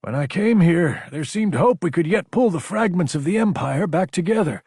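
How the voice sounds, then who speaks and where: A man's voice: high-pitched, animated, normal speed, darkish tone, husky, no room sound, studio quality, pitched above the performer's own voice. A man voicing a desperate man's recorded distress message in a sci-fi audiobook, solemn, urgent and declamatory. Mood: grave, desperate. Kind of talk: dramatic audiobook character voice.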